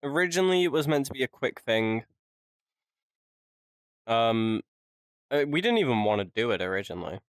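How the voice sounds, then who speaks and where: A man's voice: medium pitch, big pitch swings, moderate pace, pauses often, clear, resonant tone, no echo, studio quality. A man co-hosting a casual podcast, teasing and needling as he chats. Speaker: podcast co-host.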